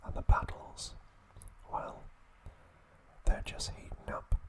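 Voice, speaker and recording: A man narrates in a soft ASMR whisper, close on the microphone. His delivery is very slow and deliberate, broken into short, careful phrases. He sounds like a hushed host guiding a listener through trivia.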